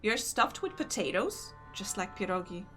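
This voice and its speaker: A woman's voice: medium pitch, very animated, normal speed, music in the background. A young woman with a Polish accent chatting casually with her stream chat while gaming, laughing often and answering comments. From Poland.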